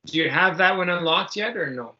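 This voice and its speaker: A man's voice: high voice, animated, a little fast, pushed and bright, muffled recording. A man running a tabletop game as game master, casually prompting his players with questions.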